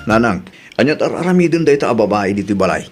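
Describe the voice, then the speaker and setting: A man's voice: on the low side, animated, fast, faint music behind, lightly acted. A man with a Filipino Ilocano accent playing a stern, exasperated widowed father in a drama. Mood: irritated. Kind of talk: Ilocano radio-style drama acting.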